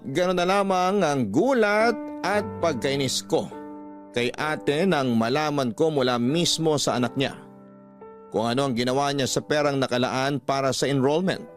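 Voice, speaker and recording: A man with a Filipino accent narrating a first-person radio story in a calm, measured way. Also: medium pitch, faint music behind.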